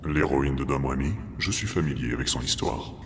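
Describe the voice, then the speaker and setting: A man playing a huge, centuries-old monster guardian in an audio drama, speaking in a formal, measured, solemn way. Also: a bass voice, noisy background. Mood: formal, composed.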